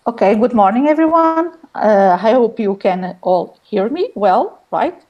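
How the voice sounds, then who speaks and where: A woman's voice: on the high side, animated, unhurried, lo-fi sound. A woman from Portugal, a secondary school teacher, introducing herself hesitantly on a video call. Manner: hesitant, filler-heavy.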